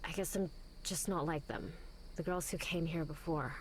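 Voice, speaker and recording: A woman's voice: deep voice, normal speed, a little hiss or hum, lightly acted, rough recording. An actress voicing a frank, uneasy young woman at a convent, plain and blunt, in a visual novel.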